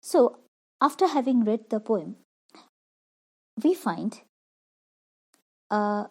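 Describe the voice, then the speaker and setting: A woman's voice: on the high side, big pitch swings, drawn-out words, mellow. A woman explaining a poem line by line as a literature teacher, repeating and rephrasing each point.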